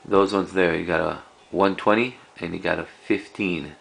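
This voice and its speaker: Casting: man, very low creaky voice, casual collector showing items, halting and unscripted, slight hiss of background noise. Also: steady tone, fairly bright tone. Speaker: cassette collector presenting a collection. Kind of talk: casual unscripted show-and-tell.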